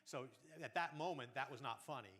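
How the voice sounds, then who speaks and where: A man coaching speakers at a conference workshop, giving lively feedback in front of an audience. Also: mid-range voice, brisk. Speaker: speech coach.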